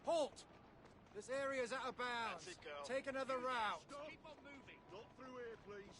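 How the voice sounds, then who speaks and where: A man's voice: very high voice, lively intonation, moderate pace. An actor playing a curt, commanding Victorian policeman with an English accent in a video game, heard distantly over street noise. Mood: stern, officious. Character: officious Victorian London policeman guarding an area. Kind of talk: video game guard barks.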